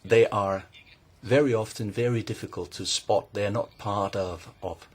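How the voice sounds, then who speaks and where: A man speaking slowly and deliberately to a committee, with a husky, breathy edge to his voice. His pitch sits fairly low, and he pauses often as he builds each point. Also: fairly even intonation. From Norway. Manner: measured, deliberate, with frequent pauses and hesitations. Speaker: invited speaker at a parliamentary hearing. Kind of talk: formal testimony.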